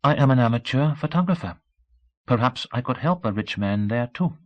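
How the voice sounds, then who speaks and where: A man's voice: mid-range voice, animated, moderate pace, highs cut off, pitched above the performer's own voice, lightly acted. A candid young woman, as a male narrator puts her on. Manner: poised and blunt, asks pointed questions. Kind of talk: audiobook character voice.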